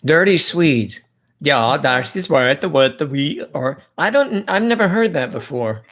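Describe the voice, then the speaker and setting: A man's voice: fairly high pitch, expressive, unhurried, fairly bright tone. A man hosting a casual livestream, rambling and chatting with his guests and reading viewer comments aloud. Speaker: livestream host.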